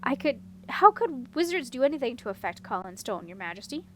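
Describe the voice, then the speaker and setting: A woman plays a scheming male dragon from a storybook, all haughty bluster. He is indignant and dismissive, pitched fairly high for his kind, with faint noise behind the voice. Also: sing-song, brisk.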